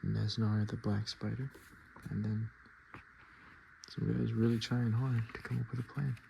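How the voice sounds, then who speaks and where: A man softly murmuring and whispering in a casual, rambling ASMR style as he hosts his video. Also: on the low side, level tone, a little slow, vocal fry, a little hiss or hum, rough recording. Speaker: ASMR video creator and host.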